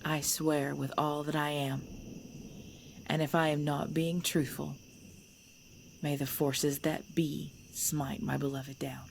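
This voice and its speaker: A woman playing a centuries-old female vampire clan leader in an ASMR audio drama, composed and commanding, speaking in measured, formal phrases. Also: low-pitched, little pitch movement, creaky, full character voice. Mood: calm, assured. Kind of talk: ASMR audio roleplay acting. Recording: background noise with chirping crickets.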